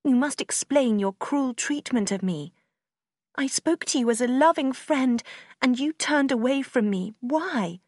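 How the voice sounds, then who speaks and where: A woman's voice: high-pitched, animated, measured pace, darkish tone, dry recording, studio quality, voice pushed up. A woman voicing a passionate, heartbroken young woman in an audiobook, with a tearful, pleading manner. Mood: wounded, demanding. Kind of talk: audiobook character acting in a simplified classic novel.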